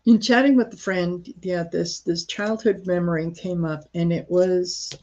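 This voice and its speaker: A woman from Croatia hosting a casual live stream, talking in a rambling, halting way with many fillers and restarts. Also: fairly low pitch, fairly even intonation, darkish tone, muffled recording.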